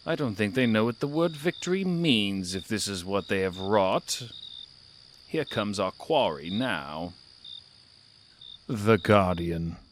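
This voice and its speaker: A man's voice: lowish voice, expressive, takes it slowly, slight background noise. A man voicing a curious, impatient and sardonic feline traveler who guides listeners through an audio drama with haughty wit. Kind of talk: theatrical audio drama narration.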